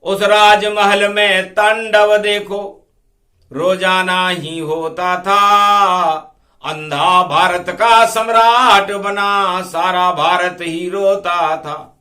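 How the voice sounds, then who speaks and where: Male devotional preacher, high bright voice, unhurried delivery that moves between sung rhyming verse and spoken explanation. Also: steady tone. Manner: declamatory, drawn-out verse lines broken by quick asides.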